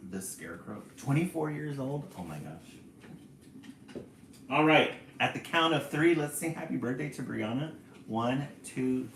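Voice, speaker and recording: A man's voice: mid-range voice, fairly bright tone, slight background noise. A man in his forties hosts a casual live stream, chatting away and reading viewer comments aloud with a laugh never far off. His voice swings up and down a lot, and the room around him rings with echo. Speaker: livestream host. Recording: roomy echo.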